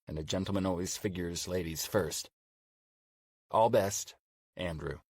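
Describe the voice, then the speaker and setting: A man's voice: low voice, unhurried, a little mellow, breathy edge, no room sound, clean studio sound, heavily acted. A man narrating an audiobook as a courteous, chatty older single man writing courtship emails.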